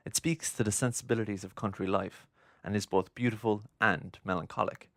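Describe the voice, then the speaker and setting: A man narrating a scripted documentary in a measured, essay-like delivery. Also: fairly low pitch, steady tone, fairly bright tone, no echo, studio quality.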